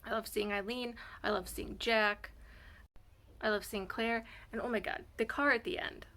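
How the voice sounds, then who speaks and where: Bright, creaky-voiced woman vlogger chatting. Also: a little high, fairly even intonation, moderate pace. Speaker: reading vlogger. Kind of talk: casual reaction vlog chat.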